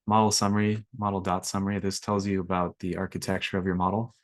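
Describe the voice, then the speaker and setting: Low flat-voiced man teaching code. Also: fast talker, no room sound, clean studio sound. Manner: relaxed, filler-heavy explaining. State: slightly raspy from allergies. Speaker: workshop instructor. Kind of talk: screen-share coding tutorial.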